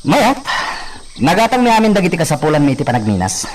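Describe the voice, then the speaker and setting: A man's voice: a little high, very animated, fast talker, slight background noise. A man with an Ilocano accent playing an assertive hacienda master who gives orders in a radio drama.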